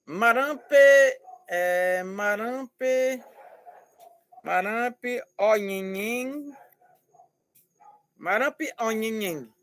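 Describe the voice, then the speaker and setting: A male language teacher explaining slowly and patiently over a video call, repeating words and checking that students follow. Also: high-pitched, a bit bright. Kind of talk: online class explanation.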